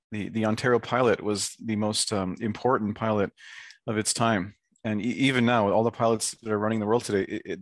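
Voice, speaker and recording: A man speaking as a guest advocate on a video call, informal and earnest, with halting phrasing. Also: lowish voice, no echo.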